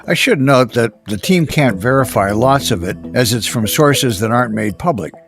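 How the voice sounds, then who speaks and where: A man narrates as a podcast host, working from a script. His voice is mid-pitched for a man, and music runs clearly behind it. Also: expressive, normal speed.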